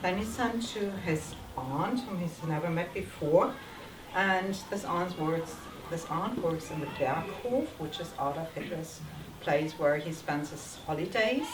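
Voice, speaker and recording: A teacher making a polite, formal welcome speech at a school reading, speaking English as a German native with some ums. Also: female voice, fairly low pitch, lively intonation, noisy background. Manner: polite, careful, with ums and pauses. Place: school hall. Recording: echoey hall, distant mic, audible ambience.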